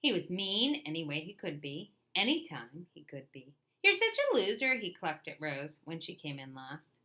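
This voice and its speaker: A woman reading a children's picture book aloud with lively, expressive storytelling. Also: deep voice, moderate pace.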